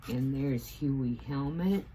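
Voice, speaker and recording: A woman reads a children's picture book aloud in a low voice at an unhurried pace. She is expressive and teacherly, adding asides, with faint noise in the background. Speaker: teaching assistant reading a story to children.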